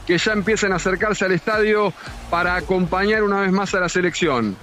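A man reporting from outside a stadium for a radio sports show, steady and informative. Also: high voice, fairly quick, soft background music. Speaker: field reporter.

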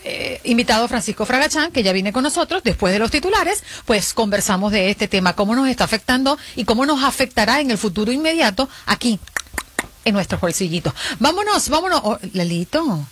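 A Venezuelan woman co-hosting a morning show, with a voice that sits a touch high for a woman. Her delivery is animated and playful, and she laughs easily between teasing remarks. In the studio she shifts smoothly between chatter and headline reading. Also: a little fast, a little hiss or hum. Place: broadcast studio.

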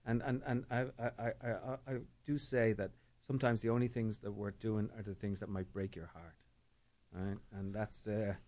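A middle-aged man talks about his writing with a lowish voice. He is reflective and searching, often stalling and repeating a word before the sentence comes. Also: steady tone, moderate pace, warm tone. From Ireland. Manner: thoughtful, repeats words while searching for them. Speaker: novelist guest. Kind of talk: reflective interview talk.

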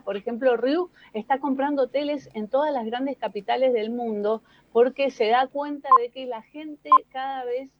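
A woman's voice: fairly high pitch, no echo, dull audio. An Argentine woman giving conversational news commentary, explaining things and addressing listeners directly. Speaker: radio or TV news columnist.

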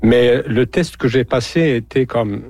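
A man's voice: medium pitch, measured pace, darkish tone, no room sound. A man from Algeria, a radio journalist, speaking as a guest in a radio interview and hesitating with many fillers and repeated words.

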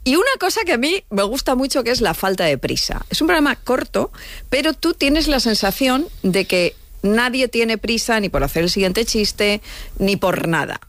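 A woman hosts a radio interview in a chatty, rambling way. Her pitch rises and falls a great deal. The studio sounds dry and close. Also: very animated, normal speed, no echo. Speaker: radio host.

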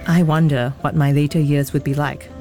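A woman who hosts the show, narrating from a script and interviewing her guest with warm, enthusiastic reactions. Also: deep voice, steady tone, fairly quick, faint music behind. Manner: warmly enthusiastic, effusive thanks and reactions. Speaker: host and interviewer.

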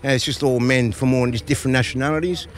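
A man who teaches dancing, talking casually with many pauses, fillers and restarts. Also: mid-range voice, steady tone. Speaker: dance teacher. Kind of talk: casual interview.

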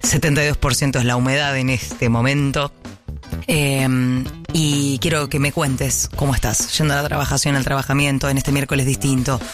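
A man's voice: mid-range voice, level tone, normal speed, faint music behind. A man with an Argentine accent hosting a morning radio show, playful and chatty as he reads headlines and takes callers. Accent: Argentine Spanish. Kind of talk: lively radio host chat with callers.

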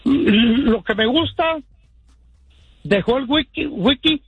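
A man with a Mexican accent calling in to a sports talk show, rambling and halting in a friendly way. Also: extremely high pitch, expressive, gravelly, husky, dry recording, phone-quality sound. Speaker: caller. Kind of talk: casual phone-in chat.

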